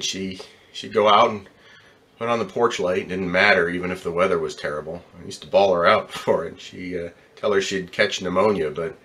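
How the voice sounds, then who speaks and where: A man's voice: deep voice, fairly bright tone. A man acting in a radio drama as a troubled, weary, plain-spoken ambulance driver, stammering and repeating his words.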